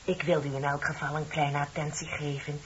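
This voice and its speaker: An actress playing a chatty, flattering office secretary in her thirties in a radio play, with a polite, effusive manner. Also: low-pitched, fairly quick, natural voice. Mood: warm, effusive. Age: 30s. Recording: dull, noisy old radio recording.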